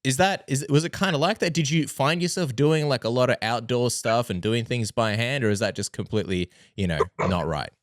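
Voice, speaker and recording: Male host, jokey, loose fillers, middle pitch, dry sound. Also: fairly quick, few pauses, no echo. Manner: jokey, loose, many "like" and "you know". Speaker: podcast host. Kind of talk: casual interview chat.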